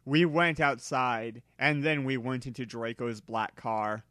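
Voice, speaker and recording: A man reading a story aloud in a theatrical, exaggerated way, with a bright, forceful voice. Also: mid-range voice, steady tone, a little slow, no room sound, clean studio sound. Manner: theatrical, exclamatory delivery with exaggerated emphasis. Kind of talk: dramatic reading of fan fiction.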